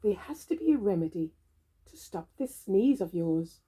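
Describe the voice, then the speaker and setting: A woman reading a storybook aloud for young children in an expressive, theatrical, drawn-out way. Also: mid-range voice, husky. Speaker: storybook reader.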